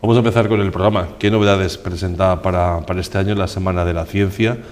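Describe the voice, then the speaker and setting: A man's voice: sits low, fairly even intonation, quick pace, darkish tone. A man hosting a local TV interview and echoing his guests' words. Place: local TV studio. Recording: reverberant studio sound.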